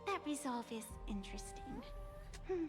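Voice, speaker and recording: In a long, ringing reverb, an enigmatic supernatural woman for a video game. Her high voice moves slowly and loftily, amused and condescending, in archaic turns of phrase, with faint music underneath. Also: big pitch swings. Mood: lofty, intrigued. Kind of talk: theatrical video game voice acting.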